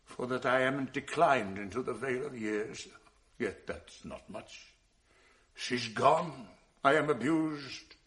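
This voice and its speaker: A tormented older general: low, unhurried classical verse in a radio drama, weighty with bursts of anguish. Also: male voice, fairly bright tone. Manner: brooding, slow and weighty, flaring into anguish. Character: proud tormented general, jealous husband.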